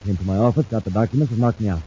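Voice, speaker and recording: An actor playing an evasive, shifty businessman in a mid-century radio serial, speaking in a guarded way with a husky, dark voice. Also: lowish voice. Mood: cold, scheming. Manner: halting, guarded. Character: evasive, shifty businessman sheltering his fugitive brother. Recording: muffled old radio broadcast.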